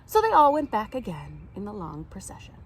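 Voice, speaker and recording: A woman reading a children's picture book aloud in an expressive, animated storytime style. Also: mid-range voice, fairly quick, a little hiss or hum. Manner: animated, acts out the characters' lines. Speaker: storytime reader.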